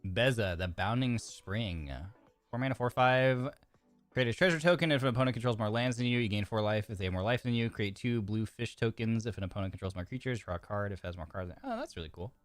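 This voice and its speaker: Low-voiced, slightly congested male streamer. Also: fast talker, no room sound. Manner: chatty, reads card text aloud, frequent restarts and fillers. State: slightly congested, sore throat. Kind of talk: casual game stream chat.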